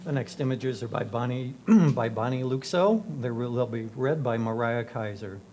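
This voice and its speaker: A man's voice: medium pitch, normal speed, a little mellow, slight background noise. A man makes brief host announcements introducing who is next at a club meeting.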